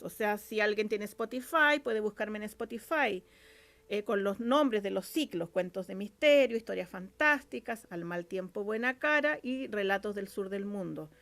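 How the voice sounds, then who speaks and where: A woman's voice: on the high side, lively intonation, a little slow, a bit bright. A woman with a Chilean accent speaking as an interview guest in casual, informal chat, often repeating her words. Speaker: interview guest, radio drama writer and producer.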